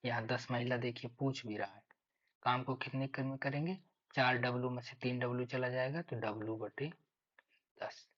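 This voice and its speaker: A man's voice: mid-range voice, steady tone, moderate pace, a bit bright. A man teaching maths step by step for exam preparation, thinking aloud and repeating key figures. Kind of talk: step-by-step lesson explanation. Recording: dull lo-fi microphone sound.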